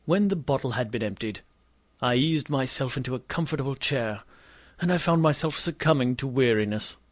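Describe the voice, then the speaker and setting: A man's voice: medium pitch, lively intonation, moderate pace, a little hiss or hum, lightly acted. Pompous, grumpy Victorian doctor, played by a man. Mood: wry, self-important. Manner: pompous, wordy storytelling. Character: grumpy, vain, lovelorn Victorian male doctor telling his misadventures. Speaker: narrator and lead character. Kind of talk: scripted first-person audio drama narration and dialogue.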